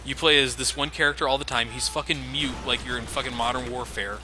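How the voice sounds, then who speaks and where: A man's voice: medium pitch, steady tone, fast, pushed and bright. A man giving sarcastic, casual commentary over his own gameplay, swearing freely. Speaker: let's play commentator. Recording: game noise underneath.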